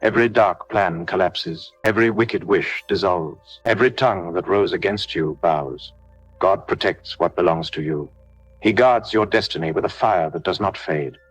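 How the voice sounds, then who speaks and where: A man delivering a scripted devotional prayer in a solemn, declarative way with measured phrasing. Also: low-pitched, slightly rough, dry recording, rough recording. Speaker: prayer leader. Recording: music behind the voice. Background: background music.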